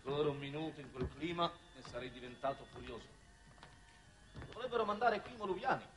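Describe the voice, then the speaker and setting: An actor playing a scornful, impatient war minister from Earth in a 1960s Italian television drama, indignant in manner. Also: male voice, on the high side, expressive, brisk, a little hiss or hum, natural voice. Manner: indignant, contemptuous.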